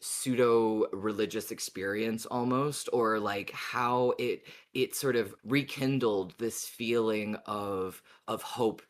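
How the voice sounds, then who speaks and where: A man hosting a podcast in a casual discussion, talking in a thoughtful, halting way with repeated words. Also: fairly low pitch, fairly even intonation. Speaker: podcast host.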